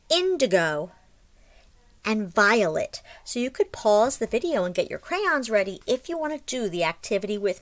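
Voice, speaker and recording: A woman in her forties reading a picture book to young children in an expressive, sing-song, deliberate way, like a teacher, recorded at home on a webcam microphone. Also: mid-range voice. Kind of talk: read-aloud lesson for young children. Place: small room at home, indoors.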